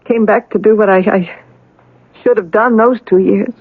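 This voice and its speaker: A woman's voice: mid-range voice, fairly even intonation, normal speed, dry recording, narrow, tinny audio, close to an everyday voice. An actress playing a troubled, guilt-ridden young woman, bitter and resigned, in a 1970s radio drama. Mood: bitter regret.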